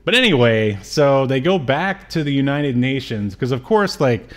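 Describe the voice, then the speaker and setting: A man's voice: mid-range voice, big pitch swings, moderate pace. A man in his thirties co-hosting a podcast, recapping a film in a casual, joking way over a video call. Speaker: podcast co-host. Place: indoor room on a video call.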